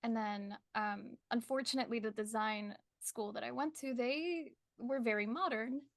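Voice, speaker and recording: A young woman from Colombia with an American accent, a painter chatting as a podcast guest, friendly and quick to laugh. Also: on the high side, no echo, studio quality. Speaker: artist guest. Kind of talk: casual interview chat. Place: her art studio.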